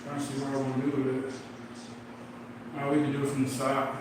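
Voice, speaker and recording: A man's voice: medium pitch, monotone, fast talker. A man in his forties from Missouri, counting reps aloud and urging himself on through a late-night workout, out of breath. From Midwest, Missouri. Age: 40s. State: out of breath from exercise. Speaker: fitness livestreamer. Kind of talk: casual workout livestream chat, counting reps. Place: home workout space at night. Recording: echoey room, mic far off, noisy background.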